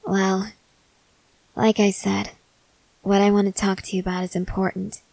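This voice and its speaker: A woman playing a stoic, confident young woman soldier in an ASMR roleplay, speaking in a measured, serious, guarded way with hesitant pauses. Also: medium pitch, level tone, creaky, dark tone, dry recording, rough recording. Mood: cool, confident. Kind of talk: ASMR roleplay, acted scene to a listener.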